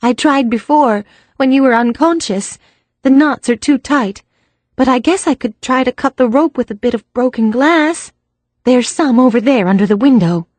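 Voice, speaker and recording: A woman's voice: high-pitched, moderate pace, a little mellow, dry recording, voice pushed up. A woman voicing a plucky young American woman who talks earnestly and a little anxiously.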